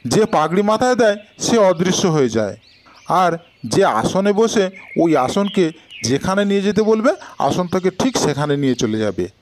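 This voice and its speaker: A man's voice: sits high, very animated, normal speed, a little hiss or hum, pitched above the performer's own voice. A man voicing petulant, quarrelsome village brothers in a cartoon folk tale, arguing insistently over an inheritance. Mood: complaining, explaining.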